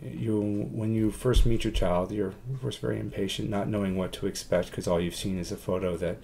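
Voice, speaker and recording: A man in his forties speaking haltingly and reflectively in a documentary interview. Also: on the low side, steady tone, creaky, dark tone, slight background noise. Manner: halting, hesitant delivery with long pauses. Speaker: adoptive parent, interviewee. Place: room at home, indoors.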